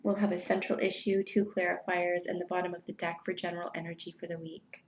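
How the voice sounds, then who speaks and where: A woman giving a weekly tarot reading in an unhurried, soft way, sounding stuffy from allergies. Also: fairly low pitch, flat delivery, echoey room, a little hiss or hum. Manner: soft, unhurried, spiritual invocations. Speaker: tarot reader.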